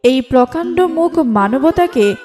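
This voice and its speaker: Female story narrator, high register, formal literary read over soft instrumental music. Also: expressive, measured pace. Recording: music bed under the voice.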